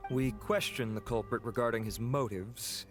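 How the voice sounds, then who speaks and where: An actor playing a polite, dutiful officer in a video game, speaking formally and courteously in measured sentences. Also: male voice, mid-range voice, over music, natural voice.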